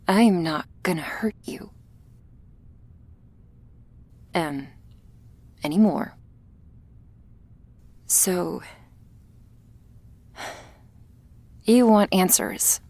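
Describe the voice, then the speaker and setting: Woman playing a shy, slow-talking vampire girl. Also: lowish voice, no room sound, full character voice. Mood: careful, reassuring. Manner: hesitant, halting, soft-spoken with whispery moments and nervous little laughs. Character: shy, anxious, apologetic young female vampire. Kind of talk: intimate ASMR roleplay, spoken to the listener.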